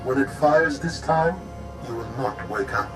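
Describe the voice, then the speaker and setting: An actor playing a cold, condescending AI caretaker in a video game, speaking in a formal, measured, haughty way. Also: male voice, medium pitch, natural voice. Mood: stern contempt. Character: cold, condescending male artificial intelligence caretaker of a world. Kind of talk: video game cutscene acting. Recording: music behind the voice.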